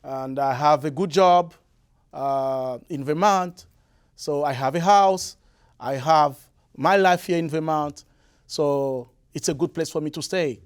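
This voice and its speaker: Man from the Congo, halting. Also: a little high, clear, resonant tone. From Republic of the Congo. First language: Lari. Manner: hesitant, many fillers and restarts. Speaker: musician guest. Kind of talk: interview answers. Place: radio or TV studio.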